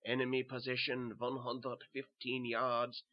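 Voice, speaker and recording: Through a dull, lo-fi recording comes a dazed German soldier turned butcher's helper , acted by a man. He has a middling pitch and a put-on German accent. He tells his horror story in a halting, haunted, brooding way, repeating his words. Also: monotone, natural voice. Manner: halting, repetitive, brooding first-person delivery. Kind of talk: dramatic horror story reading.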